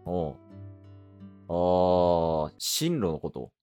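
A man acts a friendly teenage boy with a Kansai accent in an improvised radio drama. The voice is low and animated. He talks haltingly and casually, a little flustered, over faint music. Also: full character voice. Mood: sincere, nervous. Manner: halting, casual one-sided conversation.

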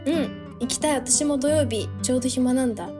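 A woman playing a gentle, agreeable young woman reunited with an old friend in a casual improvised radio drama. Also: high voice, fairly quick, natural voice. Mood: agreeable, pleased. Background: plucked guitar music.

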